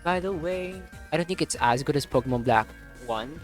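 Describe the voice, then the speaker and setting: A man's voice: fairly high pitch, animated, normal speed. A young man giving casual, informal, reactive commentary while livestreaming a video game. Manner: informal, sweary. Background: game music.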